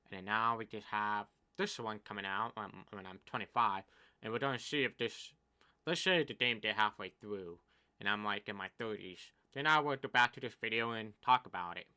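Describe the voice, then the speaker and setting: Brief: deaf man, mid-twenties, bright sharp tone, casual gripe vlog, rambling, uneven volume. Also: fairly low pitch, normal speed, smooth. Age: 20s. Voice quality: deaf speaker's speech. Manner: complaining, rambling, uneven loudness. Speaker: gaming YouTuber.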